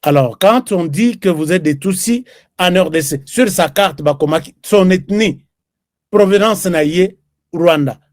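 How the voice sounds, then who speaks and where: A man's voice: high-pitched, measured pace, no room sound, studio quality. A man from Congo hosting a live political commentary show, chatting and reading viewer comments. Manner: chatty, switches between French and Lingala, reads viewer comments. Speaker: host.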